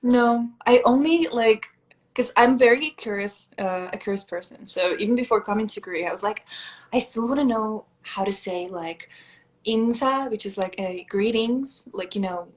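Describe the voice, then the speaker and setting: A woman's voice: on the high side, normal speed. A young woman from Russia, chatting casually as a guest on a live stream and leaning on "like" a lot. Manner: chatty, leans on "like" a lot, laughs often. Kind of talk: casual chat.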